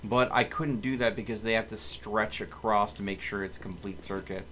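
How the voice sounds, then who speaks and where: A man's voice: lowish voice, fairly even intonation, normal speed, sharp tone. A man hosting a multiplayer game stream with casual live commentary. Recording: faint background noise.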